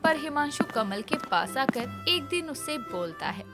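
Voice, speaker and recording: A woman's voice: high-pitched, big pitch swings, unhurried, loud music behind the voice. A woman narrating a scripted story as its narrator.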